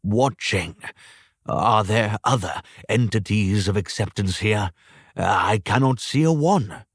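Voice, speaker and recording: A man's voice: fairly low pitch, slow pace, slightly rough, no room sound, clean studio sound, full character voice. A man playing a bitter, bewildered old man in a storybook reading, with formal, old-fashioned speech. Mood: questioning.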